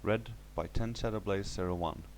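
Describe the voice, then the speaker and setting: A man's voice: lowish voice, moderate pace, slight background noise, low-quality recording. A man reading a scripted first-person horror story as its narrator.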